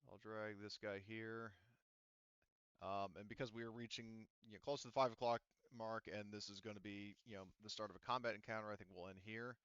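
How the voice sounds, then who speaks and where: A man with a low, smooth, resonant voice runs a tabletop game session, explaining rules patiently and filling pauses with ums and likes. The sound is dry and slightly dull, as from a home microphone. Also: steady tone, a bit bright, dry recording. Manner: patient, explanatory, many fillers. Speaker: game master.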